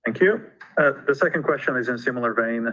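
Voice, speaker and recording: A man's voice: medium pitch, animated, fast, fairly bright tone. A man moderating a corporate call, reading out investor questions in a businesslike way. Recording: dull, radio-like conference call audio.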